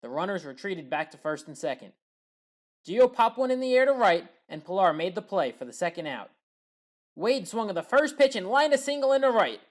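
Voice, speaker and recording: Female sports highlights narrator, medium pitch, scripted play-by-play recap, clipped and matter-of-fact. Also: very animated, brisk. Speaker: sports highlights commentator and channel host.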